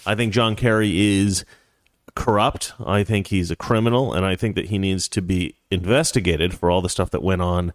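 A man's voice: low-pitched, steady tone, normal speed, dry recording, studio quality. A man hosting a casual commentary show, rambling and opinionated with a joking edge. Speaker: show host.

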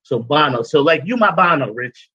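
Man, 40s, interview guest; bright, easygoing, rambling delivery. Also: on the high side, normal speed, husky, no room sound, muffled recording. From Guyana. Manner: relaxed, repetitive, rambling with many "you know"s. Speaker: cigar business owner, guest. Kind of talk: casual interview talk.

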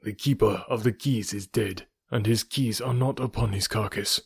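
A man's voice: on the low side, fairly even intonation, normal speed, gravelly, a little mellow, husky, no echo, studio quality, heavily acted. A man reading aloud voices a grave, loyal warrior ally who speaks formally and solemnly. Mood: urgent. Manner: solemn, formal archaic speech.

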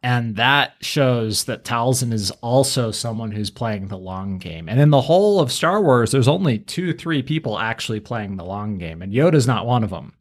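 A man's voice: medium pitch, lively intonation, moderate pace, few pauses. A man hosting a podcast, talking through a fan analysis of a fictional story. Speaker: podcast host.

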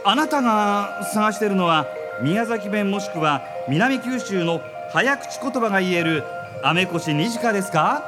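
A woman's voice: mid-range voice, lively intonation, moderate pace, close to an everyday voice. A woman playing a formal deity of Japanese myth in a live radio drama, over music. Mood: solemn, formal. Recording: live event mic with music underneath.

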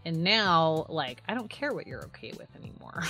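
Irreverent woman podcaster, lower-pitched voice. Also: normal speed, soft background music. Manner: animated, irreverent, sarcastic, swears freely. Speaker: podcast co-host. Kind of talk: casual podcast chat.

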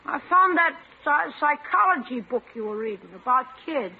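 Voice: high voice, animated, normal speed, gravelly. A performer playing a stubborn, rambunctious young American boy in a classic radio comedy, brash and cheeky. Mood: subdued. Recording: very noisy, muffled vintage radio recording.

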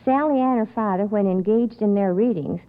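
A woman's voice: mid-range voice, a little fast. A woman speaking unrehearsed as a contestant on a radio quiz show. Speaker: contestant, mother of a quiz kid. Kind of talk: unrehearsed game show answering and reading. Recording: dull old radio broadcast recording.

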